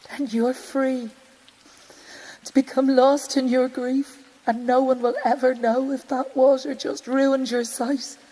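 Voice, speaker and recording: An actress playing a grieving mother in an audio drama monologue, wistful, quietly stoic and often hushed. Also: high-pitched, flat delivery, poor audio. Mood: rising grief. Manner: slow, reflective, often hushed to breathy near-whisper. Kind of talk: intimate audio-play monologue.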